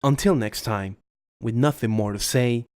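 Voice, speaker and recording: A man reading his own scripted voiceover in a measured, formal way, close to a USB condenser mic at home. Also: medium pitch, a little mellow, smooth. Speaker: video creator.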